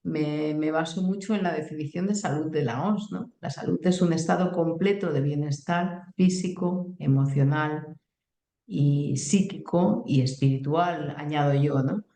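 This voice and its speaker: A woman's voice: low voice, unhurried, dark tone. Woman, doctor, hesitant, echoey room. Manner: hesitant, many fillers and restarts. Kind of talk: explaining on a talk show. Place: clinic. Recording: roomy echo.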